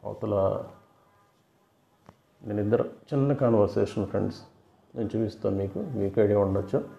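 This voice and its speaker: A man's voice: lowish voice, normal speed, gravelly, dark tone. A man explaining things casually to viewers as a video host, often repeating and rephrasing his points. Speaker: video host giving fraud awareness advice. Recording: roomy, dull lo-fi sound.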